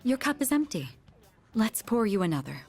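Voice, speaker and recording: A woman's voice: on the high side, big pitch swings, normal speed, a little mellow, slightly rough, faint music behind, full character voice. Poised, courteous young lady. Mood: gracious, composed. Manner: formal, polite, composed. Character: poised, courteous, considerate refined young woman of authority. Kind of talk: English video game voice acting.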